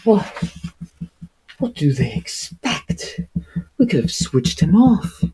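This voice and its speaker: Doctor for an audiobook, male reader acting: shaken, indignant, raised pitch, wide swings, rough-edged. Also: very animated, slow pace, warm tone, exaggerated voice, rough recording. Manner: shaky, exclamatory. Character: rattled male doctor, urgent, barking orders, indignant.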